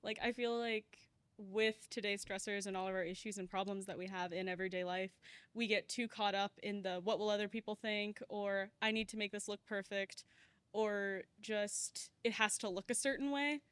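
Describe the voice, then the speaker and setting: A woman's voice: medium pitch, steady tone, moderate pace, a bit bright, smooth, no echo. Reflective young woman on a student panel. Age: young adult. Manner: reflective, uses many "like" fillers. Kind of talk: panel discussion.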